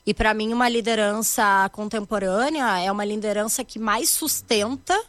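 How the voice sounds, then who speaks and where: Animated gaúcha woman with a dry sound. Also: medium pitch, moderate pace, dry recording. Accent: Gaúcho Brazilian Portuguese. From Rio Grande do Sul, Brazil. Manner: animated, emphatic, uses many tag questions. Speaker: guest, corporate education founder. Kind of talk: conversational interview.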